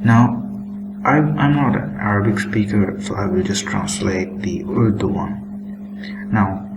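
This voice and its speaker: A man giving a critical commentary on book excerpts while showing screenshots, pacing himself deliberately and opening most sentences with "now". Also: fairly low pitch, heavy background noise. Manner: critical, disapproving tone. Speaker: religious commentator.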